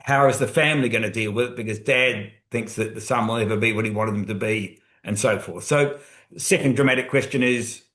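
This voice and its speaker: A man's voice: on the low side, fairly quick, a bit bright. A man speaking as a co-author in a webinar, explaining at length and restarting phrases as he thinks aloud. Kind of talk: webinar talk. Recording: roomy echo.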